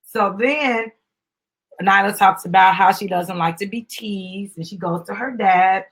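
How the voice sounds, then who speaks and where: Husky woman reading a storybook aloud. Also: medium pitch, unhurried, fairly bright tone, rough recording. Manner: upbeat, prompting and encouraging a small child. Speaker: host and mother reading a children's book aloud. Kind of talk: live dramatic storybook reading with chatty asides.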